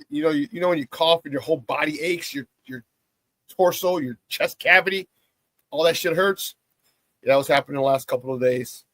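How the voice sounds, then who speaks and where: A hoarse, raspy man hosting a morning sports talk show, riffing playfully in a casual, hype-man style. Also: on the high side, a bit bright, no echo. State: hoarse from a cold.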